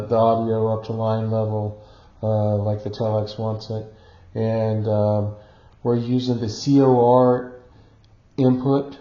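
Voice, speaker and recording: A man with a fairly low, very even voice talks through a hands-on technical demo without a script, feeling his way haltingly from phrase to phrase. The sound is noticeably roomy. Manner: halting, hesitant, improvised explaining. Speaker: electronics hobbyist demonstrating equipment. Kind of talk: unscripted technical demonstration. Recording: roomy echo, dull muffled sound.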